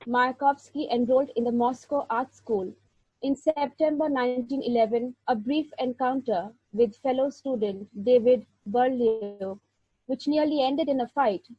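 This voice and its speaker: A woman giving an illustrated lecture, reading verse aloud and narrating in a measured, deliberate way with occasional slips. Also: sits high, husky, dry recording. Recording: dull, lo-fi sound.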